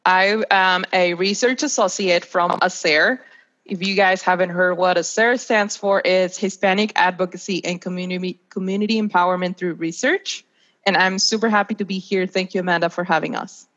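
A woman's voice: fairly low pitch, steady tone, moderate pace, fairly bright tone. A woman in her twenties from Mexico, a native Spanish speaker with a Mexican accent, giving prepared interview answers as a podcast guest. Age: 20s. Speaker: guest, nonprofit research associate. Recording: dull lo-fi video call.

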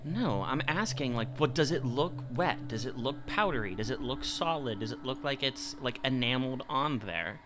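A man talks casually as a player in a tabletop role-playing game. His mid-pitched voice comes over clearly noisy ambient sound. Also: animated, normal speed, hardly any pauses. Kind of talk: casual in-game questioning and banter.

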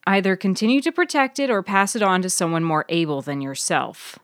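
A woman's voice: lowish voice, sing-song, fairly quick, no room sound, studio quality, pitched above the performer's own voice. A woman playing a curt, domineering, easily agitated woman in a story, speaking bluntly. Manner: blunt, uncensored.

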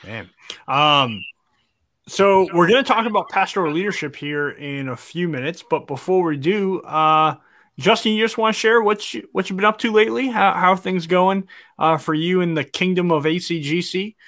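A man's voice: sits high, normal speed, fairly bright tone, no echo, studio quality. A man co-hosting a live talk show, opening with teasing, jokey banter. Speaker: podcast co-host.

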